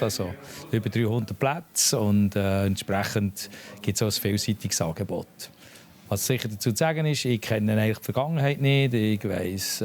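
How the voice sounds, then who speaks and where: A man with a Swiss German accent, a restaurant manager giving hesitant interview answers full of pauses. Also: on the low side, creaky, darkish tone.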